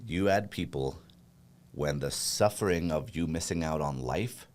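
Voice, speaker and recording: A man in his forties with a deep voice, a real estate team leader talking as a podcast guest in a jovial, rambling way. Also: unhurried, a little mellow. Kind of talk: casual podcast conversation.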